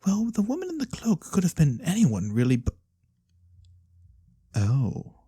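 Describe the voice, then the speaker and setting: A performer voicing a mysterious, courteous fantasy lorekeeper in a soft-spoken ASMR roleplay, breathy and theatrical with knowing pauses. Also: mid-range voice, huge pitch swings, no echo, clean studio sound, heavily acted. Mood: intrigued. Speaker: storyteller character.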